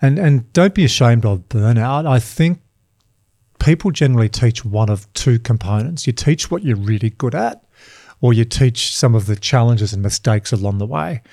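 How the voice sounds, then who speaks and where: Upbeat man hosting, mellow voice. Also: medium pitch, animated, no echo, clean studio sound. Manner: chatty, upbeat, quick asides. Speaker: podcast host and coach. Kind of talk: casual coaching conversation.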